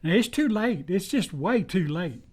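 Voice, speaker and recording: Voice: mid-range voice, animated, measured pace, a little mellow. A performer with an American accent playing an earnest, folksy western sidekick in old-time radio style, pleading urgently in rustic dialect. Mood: pleading, insistent.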